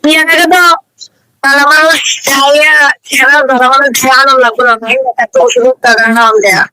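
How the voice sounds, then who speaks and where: High, bright woman, eastern Bengali dialect. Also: level tone, brisk, no echo, low-quality recording. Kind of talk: casual bantering chat.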